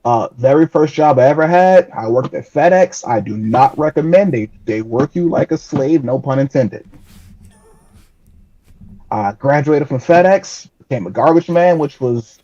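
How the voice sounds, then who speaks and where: A man's voice: medium pitch, lively intonation, unhurried, warm tone, muffled recording. A man in his late twenties, a podcast guest chatting casually, joking and self-deprecating with frequent laughs. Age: 20s. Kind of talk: casual interview chat.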